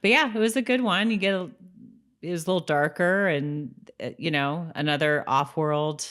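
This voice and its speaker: A woman's voice: low-pitched, moderate pace, fairly bright tone. A woman co-hosting a podcast, talking informally and sharing episode notes. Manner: informal, conversational. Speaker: podcast co-host. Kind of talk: casual chat and reading episode notes.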